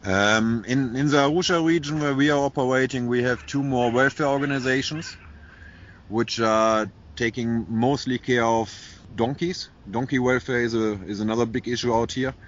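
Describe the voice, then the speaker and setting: A man's voice: medium pitch, fairly even intonation, moderate pace, lo-fi sound, a little hiss or hum. A man with a German accent speaking as an interview guest, earnest and repeating words as he goes. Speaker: animal welfare worker. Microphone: internet call.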